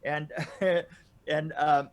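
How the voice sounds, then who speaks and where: A middle-aged man telling casual stories in an animated way, often chuckling and restarting his phrases. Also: a little high. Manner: animated, laughs through his stories, halting starts and repeats. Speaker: podcast storyteller and guest regular. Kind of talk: casual anecdotal storytelling. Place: indoor room, video call.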